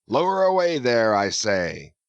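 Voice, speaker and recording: A man's voice: medium pitch, very animated, unhurried, dry recording, dull audio. A man voicing a fierce, imperious old sea captain who thunders his commands. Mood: commanding.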